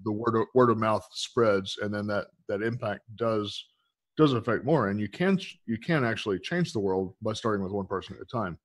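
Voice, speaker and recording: A man hosting an interview, asking long rambling questions full of fillers and quick affirmations. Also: fairly low pitch, no room sound. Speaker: podcast host, interviewer.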